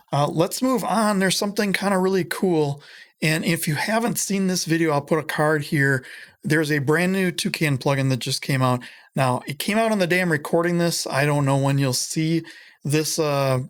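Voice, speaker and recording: A middle-aged man talks through a casual home-studio tutorial from a small room. His voice sits a bit high for a man, and he rambles with plenty of ums and self-deprecating asides. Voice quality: higher voice than it used to be. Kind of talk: casual screen-recorded audio tutorial.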